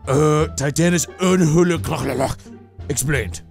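A man voicing a goofy, agreeable giant ape puppet, a co-host chiming in with playful, drawn-out comic remarks. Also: fairly high pitch, vocal fry, darkish tone, faint music behind. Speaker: reaction show co-host. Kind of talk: casual comic puppet commentary.

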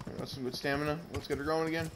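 Guy doing chill lets play chatter, kinda high voice, grainy headset mic w horse clops behind. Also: flat delivery, racing. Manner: mild swearing, talks to game characters. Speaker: gamer narrating his playthrough. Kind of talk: casual let's-play commentary. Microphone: Bluetooth headset. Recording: noisy, grainy Bluetooth headset audio with game sounds. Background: game audio with horse hooves.

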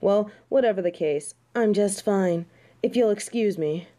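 A woman's voice: medium pitch, unhurried, mellow, close to an everyday voice. A woman playing a snobby, prickly fallen angel in an audio roleplay, with a haughty, theatrical manner. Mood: haughty, irritated. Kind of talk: audio roleplay acting addressed to the listener.

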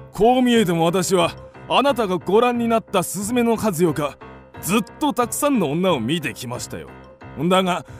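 An actor playing a pompous, short-tempered landowner in a radio drama, formal yet explosive. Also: male voice, sits high, exaggerated voice. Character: blustering, short-tempered male landowner and creditor, scornful of women.

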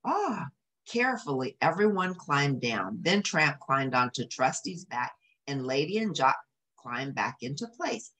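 Low, very animated woman storyteller. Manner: animated, drawn-out emphasis, asks listeners questions. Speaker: storyteller, channel host. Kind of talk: expressive read-aloud for children. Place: small home office, indoors.

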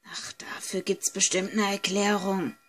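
A woman playing a young friend braving a haunted villa, subdued and measured, in an amateur horror audio drama. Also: mid-range voice, level tone, fairly bright tone. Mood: reassuring.

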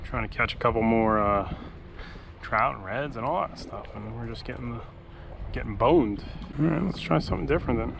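A relaxed man in his thirties chats his way through a fishing trip from a kayak, his voice at a mid pitch. He was recorded outdoors on a boat-mounted action camera. Clear wind and water noise sit behind him the whole time. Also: normal speed. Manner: laid-back, chatty, excited exclamations over catches. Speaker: fishing vlogger. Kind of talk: casual running commentary while fishing. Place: kayak on open water under a pier, outdoors. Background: water and wind ambience.

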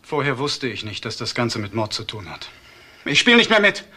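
An actor playing a hired schemer in a crime drama, explaining himself haltingly under police questioning. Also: male voice, medium pitch, big pitch swings, pushed and bright, husky, heavily acted. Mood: confessing.

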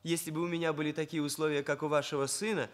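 An actor playing an earnest, proper young hairdresser in a radio play, with precise, bookish phrasing. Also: male voice, fairly high pitch, little pitch movement, fast talker, natural voice. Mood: earnest, formal. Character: earnest, self-improving young male hairdresser, proper and stiff. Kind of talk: Soviet radio play acting. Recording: radio play, violin music behind.

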